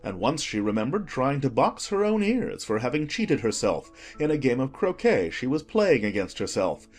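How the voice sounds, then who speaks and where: A man reads a classic children's story as an audiobook narrator. His pitch sits in the middle and moves in lively swings, with music behind him. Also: moderate pace. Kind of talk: expressive scripted storybook narration.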